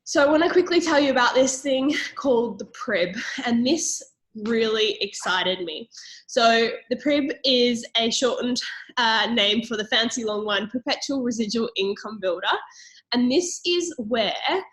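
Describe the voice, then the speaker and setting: Upbeat Australian woman pitching a business. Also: lively intonation, measured pace, fairly bright tone, low-quality recording. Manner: upbeat, persuasive, with frequent fillers and little laughs. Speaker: network marketing presenter. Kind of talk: sales presentation to a call audience.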